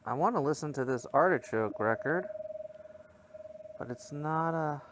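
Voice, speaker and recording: A man's voice: fairly high pitch, lively intonation, unhurried, background noise. A man playing a VR game, thinking aloud and sounding puzzled as he comments casually. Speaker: VR gameplay creator.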